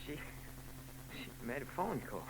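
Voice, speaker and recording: An actor playing a giggling, stammering, underweight lush in a 1940s radio drama. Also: male voice, mid-range voice, big pitch swings, measured pace, slight background noise, poor audio. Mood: wheedling. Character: giggly scrawny barfly man, wheedling lush.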